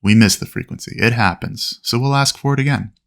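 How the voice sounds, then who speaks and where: A man's voice: medium pitch, a little fast, a bit bright. A man narrating a flight lesson as an instructor, explaining procedures methodically in an instructional style. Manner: clear, methodical explaining with aviation radio phraseology.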